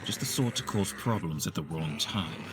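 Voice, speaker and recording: An actor playing a vain, calculating villain doctor in a theatrical comic dub, with a precise and superior manner. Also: male voice, sits low, expressive, normal speed. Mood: scheming. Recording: prominent background music behind voice.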